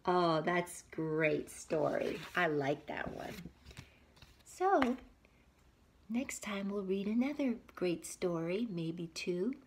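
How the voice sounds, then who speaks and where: A woman teacher reading a picture book aloud to young children, expressively, with breathy asides and questions to her listeners. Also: lowish voice, animated, slow pace, slight background noise.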